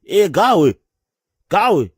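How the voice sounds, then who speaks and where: A man with a Zimbabwean accent voicing a jealous older husband in a cartoon, scolding in rapid bursts. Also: high voice, very animated, husky, dry recording, clean studio sound, exaggerated voice. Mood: furious. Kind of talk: cartoon voice acting.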